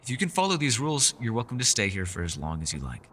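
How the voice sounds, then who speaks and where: A man's voice: fairly low pitch, huge pitch swings, fast talker, a bit bright, big-room sound, slight background noise. A man playing a mysterious, scholarly elf in a fantasy roleplay, with a refined, theatrical and teasing manner. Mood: firm, serious. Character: mysterious, scholarly male elf, playful yet guarded. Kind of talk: fantasy ASMR roleplay, scripted character acting.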